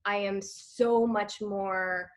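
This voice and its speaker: A woman's voice: mid-range voice, very slow. Chatty woman telling stories with laughs. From Canada, Montreal. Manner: chatty, laughs often, animated storytelling. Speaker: guest actress. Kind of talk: casual interview chat.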